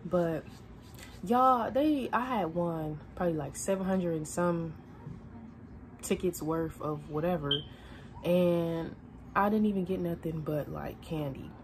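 A woman's voice: lowish voice, measured pace, noisy background. A woman in her twenties chatting casually to her phone camera in her bedroom, laid-back and rambling, full of slang. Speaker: vlogger. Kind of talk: casual vlog chat to camera. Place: bedroom at home, indoors. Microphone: phone camera at arm's length.

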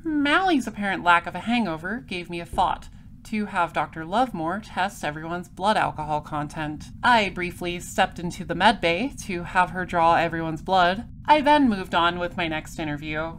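A woman's voice: fairly low pitch, expressive, fairly bright tone. Woman acting a formal, persistent space detective, noisy recording. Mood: composed, businesslike. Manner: formal, measured, polite interrogator. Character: brisk, polite, persistent space patrol detective. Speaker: lead detective and narrator. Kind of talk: audio drama detective dialogue and narration.

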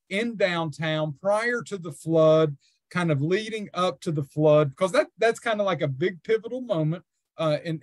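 A man moderating a webinar over a video call, halting and repeating words. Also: a little high, no echo, clean studio sound. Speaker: webinar host.

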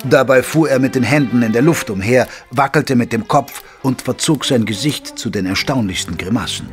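An actor playing a gentlemanly detective's companion, narrating an audio drama in a measured, literary way. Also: male voice, medium pitch, natural voice. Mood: measured storytelling. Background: music.

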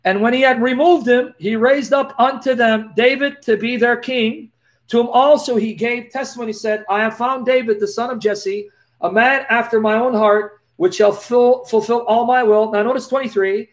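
High-voiced man with a lisp. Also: moderate pace. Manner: animated, preacherly, gestures while talking. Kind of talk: live Q&A, reading Bible verses aloud. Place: small dim indoor room. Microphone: laptop webcam, close.

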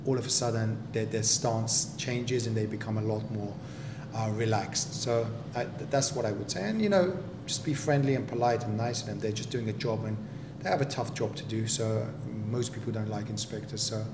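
A man, a property developer, explaining construction inspections in a practical, measured way as his wife interviews him. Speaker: property developer and general contractor. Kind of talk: explaining in a relaxed home interview. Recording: echoey room with steady rumble.

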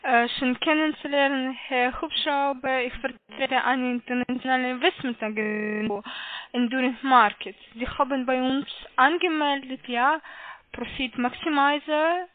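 A woman speaks in a high voice with a foreign accent, pitching like a phone sales agent. She is slow and halting, often checking in. The sound is a narrow, muffled telephone line. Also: steady tone. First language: not German. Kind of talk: scripted sales call.

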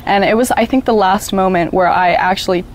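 A woman's voice: medium pitch, brisk, a little hiss or hum. A young woman whose first language is Japanese, speaking reflectively with plenty of filler words. She is recorded outdoors on a lapel mic with faint ambience in the background. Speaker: interviewee. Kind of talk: documentary interview. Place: outdoors by a wooden wall.

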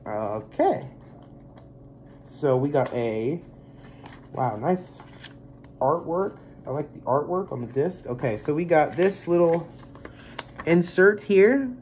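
A man's voice: a little high, expressive, slow pace, long pauses, darkish tone, a little hiss or hum. A young man in his twenties, stuffy from allergies, rambling casually through a movie unboxing in a small bedroom, recorded on a close webcam mic. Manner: rambling, filler-heavy, easygoing. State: congested from allergies. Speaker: movie collector vlogger.

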